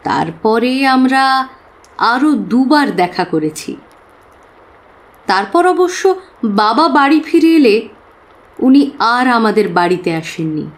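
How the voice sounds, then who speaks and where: High-voiced earnest young woman. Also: big pitch swings, slow pace, long pauses. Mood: confiding. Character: earnest young working woman, Miss Sutherland, confiding in a detective. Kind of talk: dramatised audio story acting.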